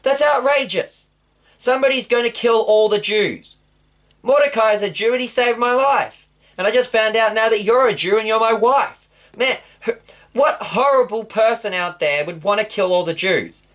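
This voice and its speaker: A man's voice: a little high, a little slow, a bit bright. A man voicing a hot-tempered ancient king who bursts out in outrage. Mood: outraged. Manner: exclaiming, outraged outbursts.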